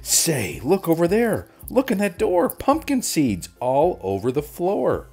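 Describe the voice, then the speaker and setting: A man's voice: on the high side, huge pitch swings, a little slow, a little mellow, breathy edge, soft background music, voice pushed up, heavily acted. A man voicing an eager, careful little bear cub detective from a children's storybook, speaking earnestly. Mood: excited, earnest.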